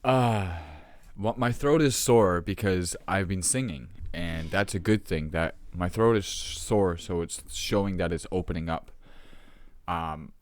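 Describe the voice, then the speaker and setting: Rough-throated man rambling to camera. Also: low-pitched, dry recording. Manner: rambling, halting, many ums and restarts. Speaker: vlogger. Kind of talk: casual talk to camera.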